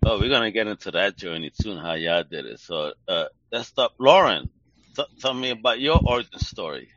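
Bright, hyped-up male podcast host. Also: lowish voice, animated, fairly quick, dry recording. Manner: hyped-up, slangy, laughs a lot. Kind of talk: casual interview chat. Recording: dull, lo-fi audio.

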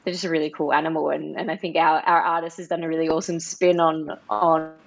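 An Australian woman being interviewed as a project co-founder, speaking in an upbeat pitching manner with some uh fillers over a remote call. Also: flat delivery, quick pace, vocal fry, lo-fi sound, a little hiss or hum. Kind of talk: casual interview talk.

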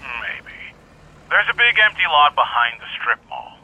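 Sharp-voiced, terse police detective. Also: male voice, medium pitch, sing-song, unhurried, lo-fi sound, a little hiss or hum, heavily acted. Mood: grim, businesslike. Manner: terse, wry, businesslike. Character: gruff, wry male police detective. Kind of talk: audio drama dialogue.

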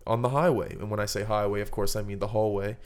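A man's voice: fairly low pitch, steady tone, fast talker. A man hosting a podcast in casual chat, explaining things in a mock-serious way. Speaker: podcast host.

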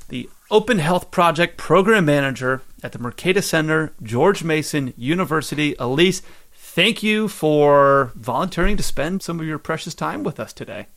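A man's voice: a little high, lively intonation, normal speed, a bit bright. A man hosting a podcast, talking casually and emphatically, repeating points to drive them home. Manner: repetitive, emphatic, enthusiastic. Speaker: podcast host. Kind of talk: casual interview talk.

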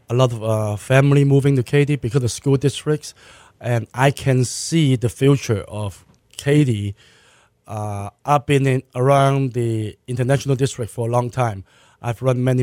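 A man's voice: medium pitch, a little mellow, no room sound. A man from Malaysia raised in Hong Kong, a restaurant owner answering interview questions plainly, often restarting his sentences. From born in Malaysia, raised in Hong Kong.

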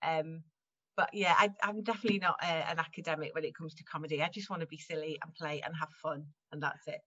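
A woman's voice: sits low, fairly even intonation, fast talker, fairly bright tone, highs cut off. A woman from Wales chatting casually in an interview, laughing often and restarting her sentences as she talks. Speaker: guest improviser.

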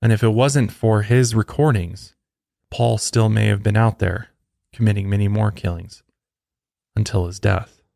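Low-voiced man narrating true crime, close and dry. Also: steady tone, unhurried, vocal fry, dark tone, dry recording. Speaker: podcast host.

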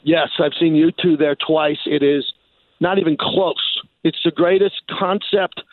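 High-pitched man on a phone-like line. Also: fairly even intonation, measured pace, no echo. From New York. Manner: emphatic, repeats phrases for effect. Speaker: sports radio host as guest. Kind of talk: animated sports talk interview. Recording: phone-like thin band.

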